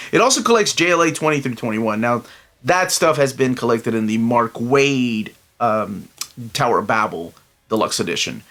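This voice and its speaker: Middle-aged guy from peru, chatty and rambly, excited about comics, mid pitch. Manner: chatty, enthusiastic, rambling asides with fillers. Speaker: comic book collector channel host. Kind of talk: casual solo review vlog.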